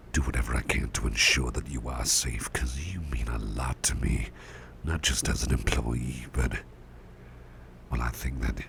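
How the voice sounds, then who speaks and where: A man playing a caring, gentle boss in an ASMR roleplay, murmuring tender reassurance in breathy whispers with a gravelly rumble. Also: full character voice. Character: caring, gentle male boss soothing a cursed employee.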